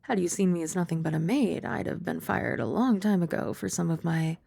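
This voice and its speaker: A young woman voicing a composed young maid who is also a childhood friend. She uses formal, polite speech with gentle, dry teasing, is soft-spoken, and sometimes drops to a whisper. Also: fairly low pitch, animated, brisk, vocal fry, husky, no room sound, exaggerated voice. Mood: calm. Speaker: ASMR roleplay performer. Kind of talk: ASMR roleplay.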